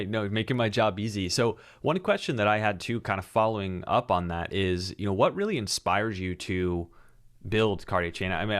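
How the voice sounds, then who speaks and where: A man's voice: sits low, fairly bright tone. A man hosting his channel, chatting casually and asking a guest open questions. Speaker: channel host, interviewer.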